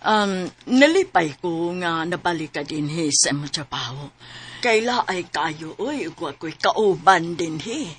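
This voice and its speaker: Radio drama: an encouraging older female friend, slow, slightly low. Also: expressive, a bit bright, a little hiss or hum. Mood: friendly, coaxing. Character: kindly older woman friend, chatty, encouraging matchmaker.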